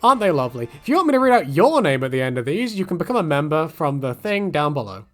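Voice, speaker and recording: A man's voice: on the high side, sing-song, quick pace, fairly bright tone. Joking man chatting over music. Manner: joking, rambling, crude humour. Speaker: host. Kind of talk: casual chat.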